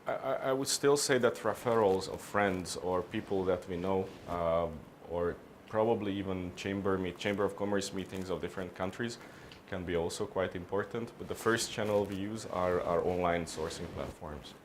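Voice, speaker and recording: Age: unknown. Sex: man. Pitch: deep. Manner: measured, hesitant, restarts often. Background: faint ambience. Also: slight background noise. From Hungary. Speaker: interviewee, business consultant. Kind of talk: on-camera business interview.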